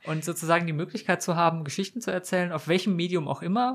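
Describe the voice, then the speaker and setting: A man's voice: sits high, steady tone, very fast, a bit bright. A man chatting casually as a guest on a podcast.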